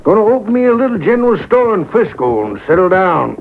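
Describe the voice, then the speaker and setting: An actor playing a folksy, excitable gold prospector in an old radio western, with a drawling manner. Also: male voice, high-pitched, fairly quick, vocal fry, full character voice. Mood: contented. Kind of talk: 1950s radio western acting. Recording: very noisy, tinny radio broadcast.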